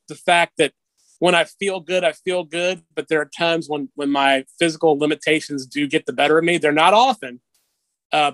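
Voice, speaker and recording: A man's voice: on the high side, a bit bright, no room sound, rough recording. A middle-aged man telling personal stories casually as an interview guest, in a candid, self-deprecating way. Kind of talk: casual conversational storytelling.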